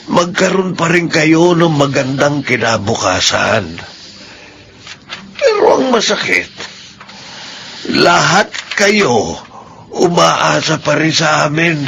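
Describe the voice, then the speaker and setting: An older Filipino father in a radio drama, with a creaky, forceful voice over noticeable noise. He is weary and caring, gravely admonishing, disappointed in his children. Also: fairly high pitch, heavily acted. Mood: grave, pained.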